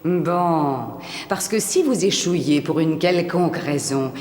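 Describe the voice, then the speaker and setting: A woman playing a vain, cruel queen in an animated film, imperious and theatrically menacing. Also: low-pitched, takes it slowly. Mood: silky menace. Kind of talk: French animated film voice acting. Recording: echoey reverberant hall, music behind.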